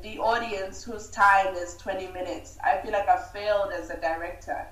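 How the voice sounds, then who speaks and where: A woman is heard some way from the microphone in an echoey room. She has an international English accent that is hard to pin down. She talks reflectively as an interview guest, thinking out loud and voicing her own questions. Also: mid-range voice, steady tone, normal speed. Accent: hard-to-place international English. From Uganda. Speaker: guest, film writer and director. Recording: roomy echo, distant microphone.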